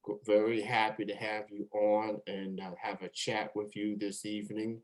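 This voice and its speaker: Guy hosting a chatty online interview, kinda low voice, stops and repeats words a bit. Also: completely flat delivery, normal speed, poor audio. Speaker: show host interviewer.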